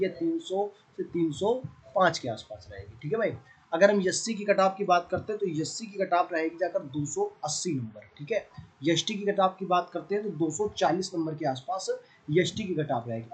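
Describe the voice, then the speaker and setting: A man's voice: high voice, low-quality recording. A man with a North Indian accent giving an informal, conversational coaching lesson, often checking in with his listeners. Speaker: exam coaching teacher.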